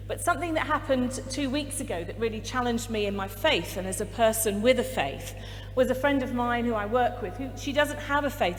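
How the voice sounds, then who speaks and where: Big-voiced woman telling a story in a hall. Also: a little high. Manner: warm, storytelling, self-described big voice. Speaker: school staff member sharing a story. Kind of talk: personal testimony at a church talk. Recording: long hall reverb with faint music.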